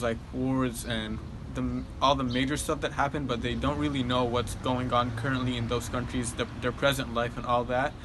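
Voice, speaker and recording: A teenage boy from Egypt, a high school student answering interview questions thoughtfully, with frequent ums and likes. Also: noisy background. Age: teens.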